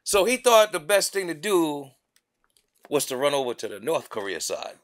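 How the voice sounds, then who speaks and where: A middle-aged man talking casually on a small studio set. His pitch swings widely as he fires off incredulous, repeated questions. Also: sing-song, normal speed. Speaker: podcast co-host. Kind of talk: casual chat.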